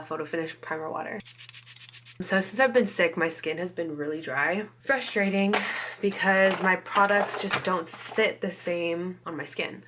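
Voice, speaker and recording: A woman's voice: fairly low pitch, bright, forceful tone, a little hiss or hum. A woman chatting casually while doing her makeup, still a little congested from a cold, with lots of "like" and "you guys". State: recovering from a cold, a bit congested. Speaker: beauty vlogger. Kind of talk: casual get-ready-with-me chat.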